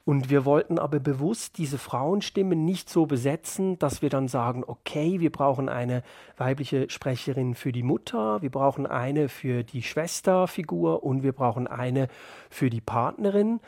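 A man's voice: mid-range voice, normal speed, gravelly, darkish tone, dry recording. Thoughtful male author, dry close sound. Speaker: author, interview guest. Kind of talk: reflective interview talk.

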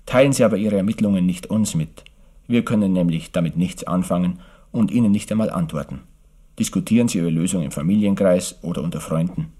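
A man's voice: deep voice, a little fast, warm tone. A man making a formal, scripted old-fashioned radio announcement in a staid, politely ironic manner. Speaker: radio announcer introducing a mystery play. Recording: archive radio broadcast.

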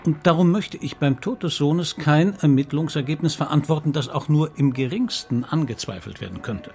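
An actor playing a tactful, sceptical police detective in a radio crime drama, measured and politely insistent. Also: male voice, on the high side, vocal fry, darkish tone. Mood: polite, guarded. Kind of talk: understated radio play acting.

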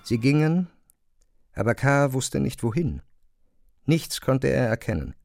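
A man narrating a literary radio play from a script in a measured storytelling manner. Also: mid-range voice, lively intonation, vocal fry, darkish tone. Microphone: close studio microphone.

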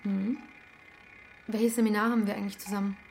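An actress playing a guarded, standoffish young student in a naturalistic radio drama, with clipped, casual replies. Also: female voice, medium pitch, little pitch movement, a little fast, creaky, a little mellow, a little hiss or hum, lightly acted. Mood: wary. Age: young adult.

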